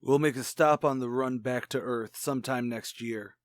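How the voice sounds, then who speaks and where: A man acting a brisk, authoritative space fleet commander in a retro radio drama. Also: mid-range voice, steady tone, no echo, clean studio sound, lightly acted. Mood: businesslike. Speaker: announcer and actor in an audio drama.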